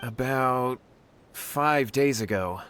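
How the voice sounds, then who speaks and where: A man's voice: medium pitch, slow pace, fairly bright tone, slight background noise. An actor playing an earnest, anxious young man who pleads, in a video game. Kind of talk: video game character dialogue.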